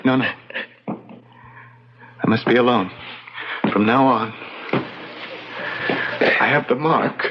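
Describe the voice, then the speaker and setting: An actor playing an anguished, guilt-stricken colonial man in a radio drama, halting and repeating himself melodramatically. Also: mid-range voice, background noise, natural voice. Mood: despairing, resigned. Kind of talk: old-time radio drama acting. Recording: dull vintage radio recording.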